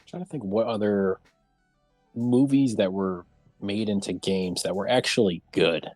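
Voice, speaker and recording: A man chatting casually as a panelist in a group discussion, often pausing as he searches for what to say. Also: lowish voice, a little mellow. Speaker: round table panelist. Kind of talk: casual group discussion about games and films. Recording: roomy echo, music underneath.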